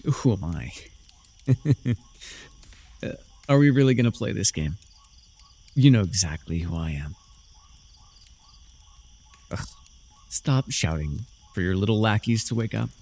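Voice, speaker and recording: A man playing a smug, sardonic young dark sorcerer in a fantasy ASMR roleplay, theatrical and teasing, with dry, drawling mockery. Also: fairly low pitch, animated, unhurried, vocal fry, long pauses, exaggerated voice. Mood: mocking, amused.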